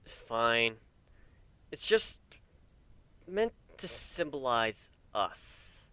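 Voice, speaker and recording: Man as a crisp, bashful pony husband. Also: mid-range voice, big pitch swings, very slow, bright, forceful tone, dry recording, full character voice. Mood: sheepish, tender. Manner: sheepish, hesitant.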